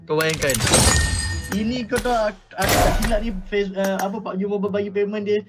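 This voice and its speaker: A man's voice: high-pitched, steady tone, a little fast. A young man with a Malaysian accent chatting casually as he streams a game. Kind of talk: casual livestream chat. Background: game music.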